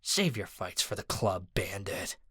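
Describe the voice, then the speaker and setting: Rich: a man growling as a gruff male prison guard, giving orders in a low, hard voice, heard close and dry. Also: big pitch swings, measured pace, sharp tone, no echo, heavily acted.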